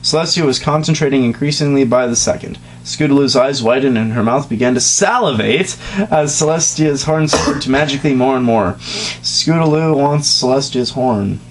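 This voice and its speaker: Male amateur story reader, mid-pitched, giggly and crude with casual asides; faint noise and hum behind the voice. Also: moderate pace. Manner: self-interrupting, giggly, crude. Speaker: reader of a comedic fan fiction reading. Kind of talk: dramatic reading of fan fiction with casual joking asides. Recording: noisy home recording with hum.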